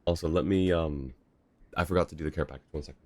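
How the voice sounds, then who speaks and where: A man chatting casually on a game livestream in a halting, chatty way. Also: extremely low pitch, background noise. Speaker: game streamer.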